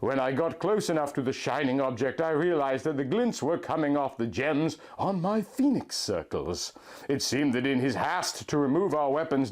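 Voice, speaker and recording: A man giving a theatrical, melodramatic reading of a first-person fan fiction story. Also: on the high side. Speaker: story reader, narrator.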